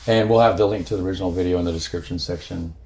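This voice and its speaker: Gravelly deep-voiced Midwestern American man. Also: racing. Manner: relaxed, rambling, with many fillers and restarts. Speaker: reaction channel co-host. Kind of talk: casual chat reacting to a video.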